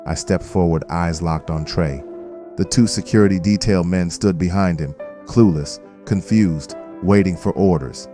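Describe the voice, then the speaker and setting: An actor playing a grave, watchful crew member, narrating an audio drama in a serious, deliberate way. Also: male voice, deep voice, warm tone, faint music behind. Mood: grim, threatening.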